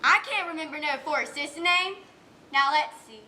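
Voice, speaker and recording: A woman acting a gruff, hard-bitten farm wife on stage, blunt and rustic in her speech, projecting to the house. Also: sits high, moderate pace, bright, forceful tone. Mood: firm, wry. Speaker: student actor in a one-act play. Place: indoor stage, recorded live. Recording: roomy stage recording, faint background noise.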